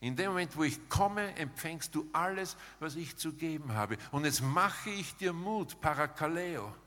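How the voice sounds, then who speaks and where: Slow-paced male preacher in a reverberant hall. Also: on the high side, animated, pushed and bright. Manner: emphatic, rhetorical, pausing between short phrases. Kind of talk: sermon with dramatized paraphrase, closing in prayer.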